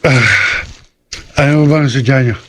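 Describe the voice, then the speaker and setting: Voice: medium pitch, steady tone, normal speed, close to an everyday voice. An actor playing a weary, evasive young man in a horror audio drama, speaking reluctantly. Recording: clear background noise.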